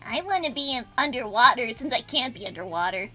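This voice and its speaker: A woman puts on a little cartoon boy bee with a high, piercingly bright voice. He is cheerful and eager, giggling as he teases his friends in loose banter over background music. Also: expressive, fairly quick. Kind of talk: improvised in-character banter.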